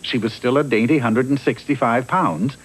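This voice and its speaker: A man's voice: mid-range voice, moderate pace, slightly rough, poor audio. A man narrating a fairy tale cartoon in a theatrical, mock-earnest storybook style. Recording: old TV soundtrack with faint noise.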